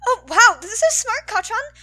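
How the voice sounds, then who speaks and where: A woman voicing an eager, sweet teenage boy who gushes with excitement in a story. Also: very high-pitched, normal speed, pitched above the performer's own voice, exaggerated voice. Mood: awed, gushing. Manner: gushing, cheering.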